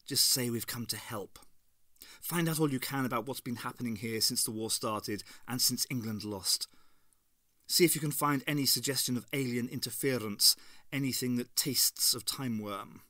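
A stern male mentor, firm and instructive, giving orders in a measured way, as a male reader voices him. The read is close and dry, with a bright tone. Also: medium pitch, no room sound, close to an everyday voice.

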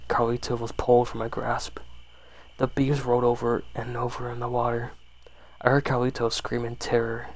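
Creaky-voiced man reading a horror story. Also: mid-range voice, low-quality recording.

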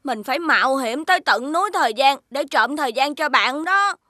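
An actress voicing a small, loyal magical friend in a children's cartoon, childlike and earnest. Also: female voice, high voice, lively intonation, a little fast, bright, forceful tone, dry recording, clean studio sound. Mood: nervous.

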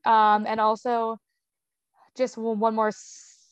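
Young woman stuttering thru casual chat, kinda high voice, lots of um and like, dry room. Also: completely flat delivery, takes it slowly, smooth, no echo, lo-fi sound. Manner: stutters with repeated sounds and blocks, fillers like "um" and "like". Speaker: interview guest. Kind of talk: casual conversational interview.

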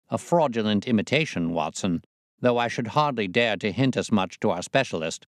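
A man's voice: mid-range voice, no echo, clean studio sound, close to an everyday voice. A man voicing a shrewd, self-assured detective in an audiobook, reasoning in a formal, incisive manner. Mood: analytical. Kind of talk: audiobook character voice.

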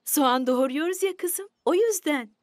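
Cartoon mum: high voice, lively intonation, gentle and patient, dry sound. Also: female voice, moderate pace, no echo. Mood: gently explaining. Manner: gentle, explanatory. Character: gentle, patient young mother. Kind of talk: children's cartoon voice acting. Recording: faint music behind the voice.

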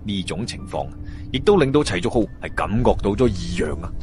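Deep-voiced, animated Hong Kong Cantonese male storyteller. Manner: expressive, colloquial, with drawn-out sentence particles. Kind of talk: dramatic audiobook-style fantasy story narration. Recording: music behind the voice.

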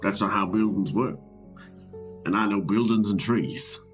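Male tabletop player, lower voice, dry playful teasing, faint music bed. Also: a little slow. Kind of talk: playful roleplay banter.

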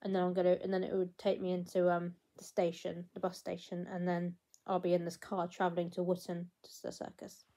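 A woman's voice: lowish voice, monotone, fairly quick, few pauses, dark tone. Woman, twenties, casual vlog, rambling, filler-heavy, phone held at arm's length, small room at home. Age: 20s. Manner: chatty and rambling, many fillers and restarts. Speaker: vlogger.

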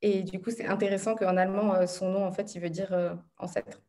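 A woman's voice: medium pitch, little pitch movement, fairly quick, dark tone. A young woman in her twenties, a student interviewer, talking in a chatty way over a video call from her room at home. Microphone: video call laptop mic.

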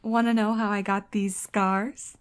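A woman's voice: medium pitch, flat delivery, measured pace, smooth, lightly acted. A woman acting a playful, teasing teenage schoolgirl in an audio drama.

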